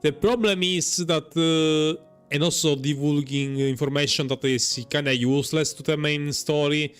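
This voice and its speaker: A man doing casual live gameplay commentary, halting and repeating words as he searches for phrasing. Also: a little high, fairly even intonation, smooth. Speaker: game streamer. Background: faint game music.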